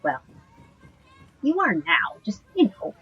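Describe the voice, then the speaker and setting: A woman's voice: medium pitch, wildly swooping pitch, slow, breathy edge, highs cut off, faint music behind, heavily acted. A woman playing an earnest, tender magic-user in an audio drama, speaking in a hesitant way.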